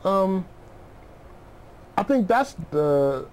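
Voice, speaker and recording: A man's voice: sits high, sing-song, very slow. Casual, slangy man telling stories. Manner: informal, slangy storytelling, often says you know what I mean. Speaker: interview guest, music producer. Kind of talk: casual chat.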